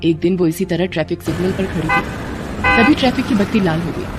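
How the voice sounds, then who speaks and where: A woman's voice: fairly low pitch, a little fast. A woman narrating a scripted moral story in a dramatic storytelling style. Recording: music bed behind the narration.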